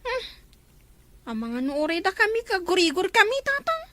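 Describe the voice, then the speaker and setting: Woman playing whiny Ilocano student daughter, very high voice, swooping intonation, plaintive and reluctant. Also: sing-song, normal speed, fairly bright tone, no room sound, exaggerated voice. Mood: pleading. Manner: plaintive, complaining. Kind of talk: amateur family drama acting.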